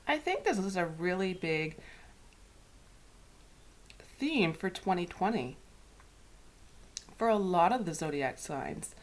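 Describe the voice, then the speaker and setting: A woman with a head cold and a slightly raspy throat, hosting and talking through a weekly tarot card reading in a soft, unhurried way. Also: lowish voice, sing-song. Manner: soft, unhurried, with whispered invocations. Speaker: tarot reader.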